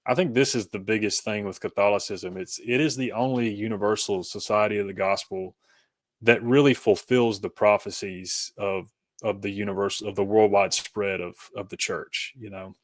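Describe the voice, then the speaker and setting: Low-voiced man explaining, close and dry. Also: a bit bright, no echo. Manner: hesitant, rambling, peppered with "you know" and "kinda". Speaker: podcast guest, author. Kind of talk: conversational interview, explaining personal faith journey.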